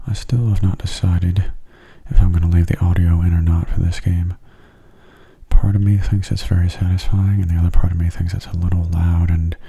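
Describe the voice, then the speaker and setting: A man giving calm, unhurried, soft-spoken ASMR commentary on gameplay, musing aloud in a breathy voice that often nears a whisper. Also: deep voice. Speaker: gaming video host.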